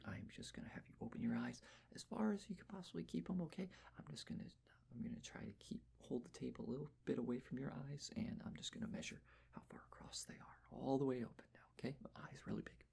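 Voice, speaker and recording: Soft asmr whisperer, androgynous, super breathy, bit of crackle, gently talking someone through stuff. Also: mid-range voice, quick pace. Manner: gentle, reassuring, step-by-step instructions. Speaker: ASMR performer playing someone measuring a client's face. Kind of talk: ASMR personal-attention roleplay, soft whispering.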